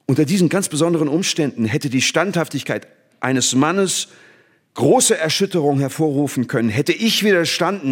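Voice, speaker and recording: Bitter old scholar in a reverberant hall. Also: male voice, fairly high pitch. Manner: declamatory, deliberate rhetorical phrasing. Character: old disgraced male scientist, bitter and self-accusing, lecturing. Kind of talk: 1960s German radio play acting.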